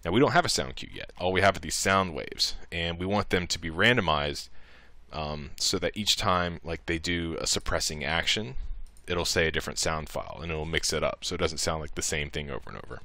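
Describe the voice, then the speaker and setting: A man with a low voice walks through a software tutorial in a casual, informal way. His tone is bright and the sound is dry and close, and he thinks aloud as he goes. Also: expressive, fairly quick, no room sound. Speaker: tutorial narrator.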